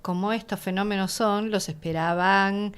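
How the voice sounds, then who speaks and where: Woman, mid-pitched voice, host, casual interview chat. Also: fairly even intonation, moderate pace, clean tone.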